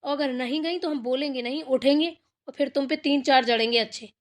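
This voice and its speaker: A woman acts the stern matriarch of a cartoon village household. Her voice is high for an older woman. She scolds bluntly, hands out orders and threatens anyone who disobeys. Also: a little fast, natural voice. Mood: stern, threatening. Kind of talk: cartoon family drama dialogue.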